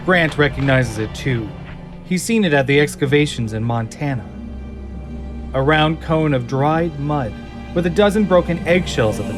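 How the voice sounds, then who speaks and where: A man delivering dramatic scripted narration as the narrator of an audio drama. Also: fairly high pitch. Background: music and sound effects.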